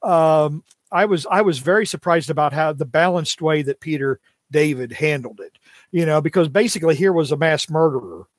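A man's voice: a little high, normal speed, no echo. A middle-aged man co-hosting a podcast in casual chat, opinionated and prone to ranting. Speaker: podcast co-host reviewing comics.

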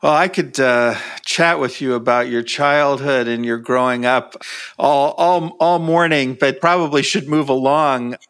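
A man interviewing a guest, asking friendly, measured questions with some fillers. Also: mid-range voice, a bit bright, smooth. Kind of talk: conversational interview.

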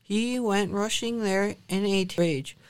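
A woman acting a shrewd, calm giant's wife in a radio drama, coaxing and unflappable. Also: mid-range voice, takes it slowly, smooth, lightly acted. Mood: sly.

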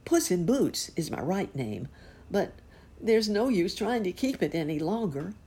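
A sad little storybook tomcat, worried he has lost his place in the tales, his voice lifted high and trembling, as a woman reads him aloud. The sound is breathy and raspy, with a creaky edge. He swings up and down in pitch. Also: big pitch swings, measured pace, dark tone, full character voice. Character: sad fretful male storybook cat.

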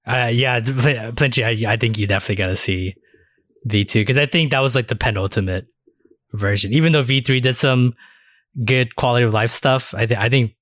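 A man's voice: medium pitch, brisk, a bit bright, no room sound. Casual man in a dry, close recording. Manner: casually profane. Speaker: podcast co-host. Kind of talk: casual chat about video games.

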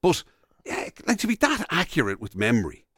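An Irish man co-hosting a radio show in casual comic banter, halting and questioning as he talks. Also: fairly high pitch, huge pitch swings, fairly bright tone, no room sound, clean studio sound.

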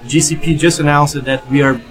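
A man's voice: on the high side, steady tone, a little fast. Brazilian man, tech guest, lightly raised voice, music bed behind. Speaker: developer advocate guest. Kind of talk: casual panel chat.

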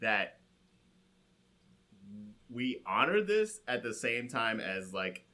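A man's voice: mid-range voice, sing-song, slow pace, bright, forceful tone. A man in a casual, comic film discussion, slangy and sweary, acting out imagined reactions as he goes. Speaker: podcast co-host.

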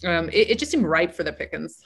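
A woman's voice: fairly low pitch, sing-song, brisk, gravelly, breathy edge. A woman screenwriter with a big voice, chatting casually as a podcast guest, self-deprecating and full of restarts.

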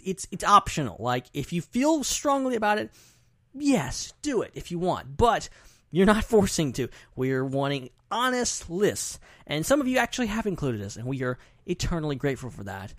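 A man's voice: on the high side, a bit bright, no echo, studio quality. A man hosting a podcast in casual, rambling, enthusiastic conversation. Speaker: podcast host.

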